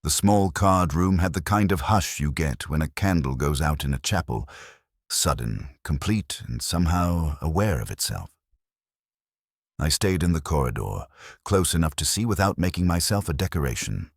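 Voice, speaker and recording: Man, audiobook narrator, detective fiction, extremely low pitch, husky, close dry sound, measured storytelling. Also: expressive, dry recording. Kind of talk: scripted first-person detective story narration.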